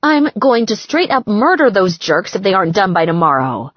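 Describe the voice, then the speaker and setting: Woman acting out a frantic, brash bride-to-be, swooping pitch. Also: very animated, normal speed, slightly rough, dry recording, muffled recording. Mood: exasperated, shrill. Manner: emphatic, exclamatory, slangy. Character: frantic, bossy bride-to-be baker, brash and dramatic. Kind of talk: audiobook character dialogue.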